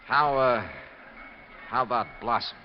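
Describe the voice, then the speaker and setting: An actor playing a brisk, hard-boiled insurance investigator in a 1950s detective radio drama, with clipped, pressing delivery. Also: male voice, medium pitch, soft background music, lightly acted. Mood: urgent, probing. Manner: clipped, pressing questioning, terse narration. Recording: muffled, hissy old radio recording.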